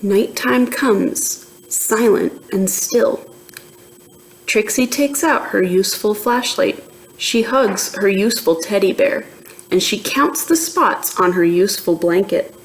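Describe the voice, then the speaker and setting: Female teacher for a picture-book read-aloud: unhurried, deliberate, expressive, checks in with young listeners. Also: medium pitch, slight background noise, rough recording. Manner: slow, deliberate storytelling with questions to young listeners.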